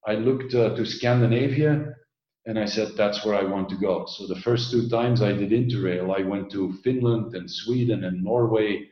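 A middle-aged man from Belgium who heads an environmental agency, answering interview questions in a measured, explanatory way with frequent filler sounds. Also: on the low side, echoey room, lo-fi sound.

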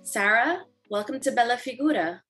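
A woman's voice: a little high, expressive, moderate pace, a bit bright, faint music behind. A woman hosting a podcast interview, enthusiastic and meandering, restarting her sentences. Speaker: podcast host. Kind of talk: casual interview chat.

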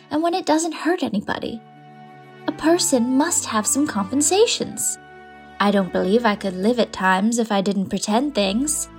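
A woman's voice: medium pitch, close to an everyday voice. A woman reading as a whimsical, independent lady of forty-five who loves to pretend, in a storybook. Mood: playful. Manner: fond, playful, pretends freely. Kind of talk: audiobook character reading.

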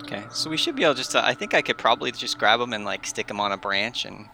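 A man's voice: medium pitch, expressive, fast, vocal fry, bright, forceful tone, soft background music. A man chatting casually and improvising as a player in a tabletop game, with faint noise behind him. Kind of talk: casual in-character improvised chat.

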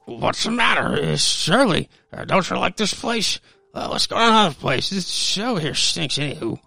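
A man's voice: high voice, big pitch swings, moderate pace, creaky, bright, forceful tone, slightly rough, dry recording, soft background music, voice pushed up, heavily acted. A man playing a happy, dim drunk husband in a radio-play story, slurring and stammering his words. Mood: drunken grumbling.

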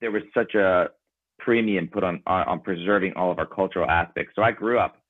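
Deep male guest, native Ukrainian speaker, loose and meandering, phone-quality dry audio. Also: brisk, no echo. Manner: thoughtful, rambling with fillers. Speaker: band member guest. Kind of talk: casual interview conversation. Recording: tinny phone-like line.

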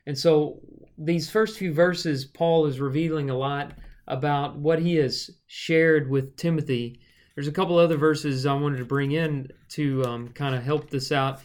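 A man's voice: a little high, steady tone, measured pace. A man preacher co-hosting a casual Bible study podcast, thinking aloud with fillers and restarts.

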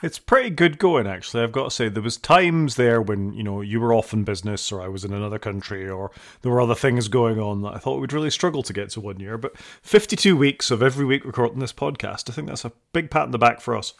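A man's voice: mid-range voice, fast talker, gravelly, hardly any pauses. A man co-hosting a podcast, chatting in an upbeat, self-deprecating way. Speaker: podcast co-host. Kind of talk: casual chat.

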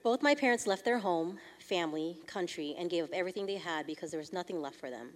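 A young adult woman from California giving a prepared, earnest testimony to a church, measured, with small restarts. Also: fairly low pitch, animated. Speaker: church speaker sharing family story. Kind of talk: prepared testimony read aloud. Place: church sanctuary. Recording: long reverb of a church hall.

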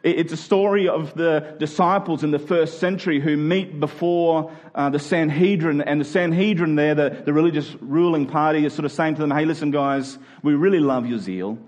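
Higher-voiced man preaching conversationally. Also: moderate pace, hardly any pauses, darkish tone. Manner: colloquial, dramatizing imagined exchanges; emphatic, repeating key phrases. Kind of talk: conversational sermon to a church congregation.

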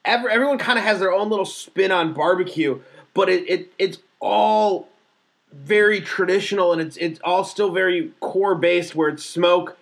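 Higher-voiced man, halting casual talk. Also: gravelly. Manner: stammering restarts, relaxed. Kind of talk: casual chat.

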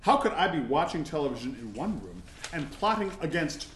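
A woman's voice: low voice, sing-song, brisk. A woman playing an irritable, bickering spouse, dry and indignant, in a live comic play reading. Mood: sarcastic, defensive. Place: live event in a roomy space. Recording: echoey live room.

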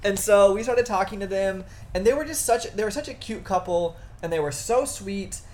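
A young woman co-hosting a podcast, recounting a night out in a relaxed, easygoing way. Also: mid-range voice, normal speed, slight background noise. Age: young adult. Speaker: podcast co-host. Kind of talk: casual storytelling chat.

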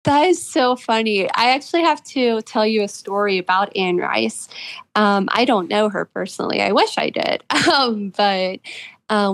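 Woman, interview guest, noticeable vocal fry, medium pitch, casual, friendly, enthusiastic.

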